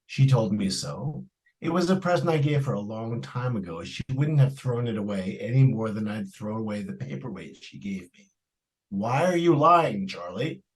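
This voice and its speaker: A man's voice: lowish voice, lively intonation, normal speed, lightly acted, rough recording. A man playing a stern, exasperated father in an old-time radio suspense drama. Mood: grim, accusing.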